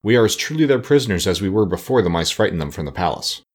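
Man playing a mild storybook scarecrow, husky, courteous, measured, swooping intonation. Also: sits low, animated. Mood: calm. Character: mild, thoughtful male scarecrow, a deposed king. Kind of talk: children's storybook character voice.

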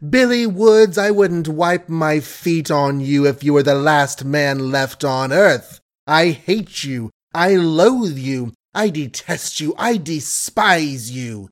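A man's voice: medium pitch, animated, moderate pace, hardly any pauses, natural voice. A man voicing a scornful, tearful young woman who berates her suitor in emphatic, repetitive rants, in a storybook reading. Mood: furious. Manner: emphatic, repetitive, ranting and mocking.

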